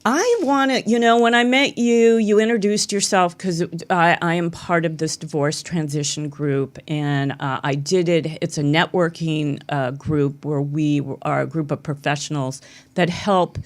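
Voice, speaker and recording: A woman's voice: sits low, steady tone, a little slow. A woman hosting a talk show, asking long rambling questions in a casual chat.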